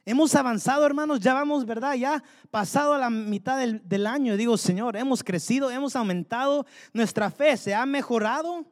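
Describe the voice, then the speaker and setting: A man's voice: extremely high pitch, moderate pace, few pauses. A man preaching a sermon, fervently asking the congregation rhetorical questions. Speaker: pastor. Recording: long hall reverb.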